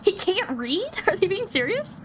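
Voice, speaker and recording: A woman's voice: very high voice, sing-song, measured pace, a bit bright, slight background noise. A woman streaming a visual novel, reading aloud and reacting with playful, sarcastic, sweary commentary. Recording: music behind the voice. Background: game music.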